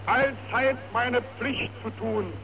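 A man's voice: very high voice, takes it slowly. An actor playing a boy who solemnly recites an oath in a radio drama. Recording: very noisy, dull radio-like sound.

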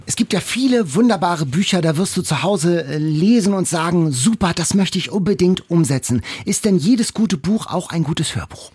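Male podcast co-host, husky and lively in intonation, casual questioning, dry studio-tight sound. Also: sits high, normal speed, hardly any pauses, dry recording. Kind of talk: casual interview chat.

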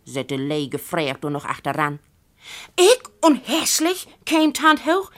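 A woman with a Low German accent reads a story aloud, acting out the dialogue. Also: wildly swooping pitch, slow pace, fairly bright tone. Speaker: reader of a literary story. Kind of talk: animated story reading with dialogue.

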